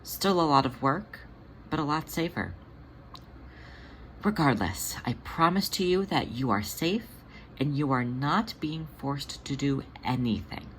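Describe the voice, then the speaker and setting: A reader voicing a gentle older female cat in an audio story, reassuring others in a soothing, measured way. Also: mid-range voice, animated, slight background noise, rough recording.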